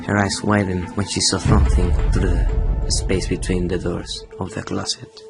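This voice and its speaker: A young man with a Chilean accent narrates a horror story in a very deep voice. His scripted delivery is dramatic and suspenseful, like a storyteller in a radio play. Music plays clearly behind him. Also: flat delivery, normal speed. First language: Spanish. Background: prominent music.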